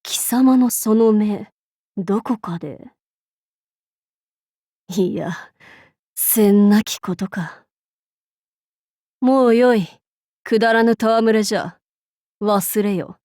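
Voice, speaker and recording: A woman's voice: mid-range voice, takes it slowly, long pauses, dark tone, no room sound, studio quality, natural voice. A woman voicing a haughty female demon-king warlord in a video game, speaking in an archaic, lordly and commanding way with amused authority. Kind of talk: Japanese game character voice lines.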